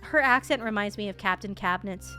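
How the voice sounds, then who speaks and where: A woman streamer reacting to a game in rambling, animated, sweary casual chat. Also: mid-range voice, fairly quick, faint music behind.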